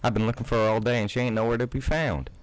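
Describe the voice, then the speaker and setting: A man voicing a cheerful young country farm mare in a put-on Southern drawl, pitching his voice up for her homespun talk. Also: steady tone, very fast, smooth, no echo, lightly acted. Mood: folksy. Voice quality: raised pitch over a low male voice. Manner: folksy, homespun turns of phrase.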